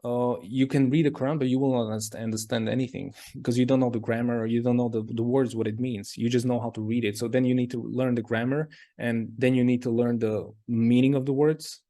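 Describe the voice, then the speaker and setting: A man's voice: mid-range voice, steady tone, a little fast, hardly any pauses, mellow. Chatty man rambling with lots of "like". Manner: rambling, heavy use of "like". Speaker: interview guest. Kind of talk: casual conversation.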